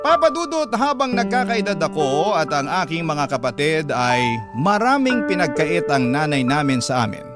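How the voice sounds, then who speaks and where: A man's voice: fairly high pitch, natural voice. A man playing an earnest Filipino in his thirties, narrating his painful childhood in a scripted radio drama. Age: 30s. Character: earnest thirty-year-old call-centre agent recounting painful childhood. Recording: loud guitar music bed underneath.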